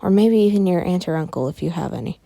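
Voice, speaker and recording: A woman voicing a grave, uneasy male doctor in a story, measured and hesitant. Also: lowish voice, animated, gravelly, warm tone, no room sound.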